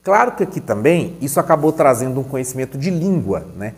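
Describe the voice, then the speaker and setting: A man's voice: mid-range voice, sing-song, fast talker. A man giving a recorded online lesson, chatty and conversational, asking questions and answering them himself. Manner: conversational, asks and answers his own questions. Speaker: teacher.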